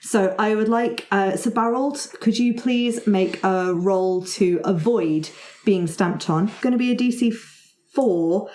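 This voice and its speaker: Woman, game master, encouraging, improvised tabletop narration, mid pitch, roomy echoing recording. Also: measured pace, warm tone. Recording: roomy, echoey sound.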